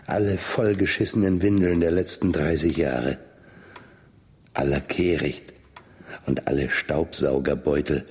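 Radio drama role: weary, sardonic older man, bottom-register voice, husky, dry and resigned. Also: very deep voice, heavily acted. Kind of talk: German radio drama acting, slow monologue.

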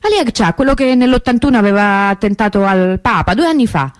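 A woman's voice: medium pitch, animated, no room sound, studio quality, close to an everyday voice. An actress playing an anguished young woman remembering her missing sister in an intimate, hesitant radio monologue. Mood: sad, bewildered. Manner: confiding, hesitant, conversational with pauses and repetitions.

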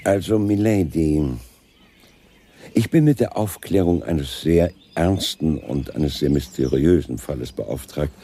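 An actor playing a polite, persistent Scotland Yard chief inspector in a German radio crime drama, formal and courteous. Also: male voice, rumbling low, measured pace, warm tone, husky, heavily acted. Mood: formal, grave.

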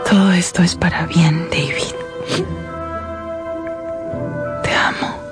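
An actress playing a gentle, loving young wife who is dying, speaking faintly and breathily in a radio drama. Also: female voice, on the low side, fairly even intonation, moderate pace, close to an everyday voice. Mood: whispered farewell. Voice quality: breathy, whispering. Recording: music under the voice.

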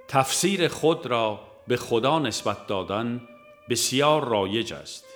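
A man reading a religious audiobook in a measured, formal and deliberate scripted narration. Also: medium pitch, fairly bright tone. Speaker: audiobook reader.

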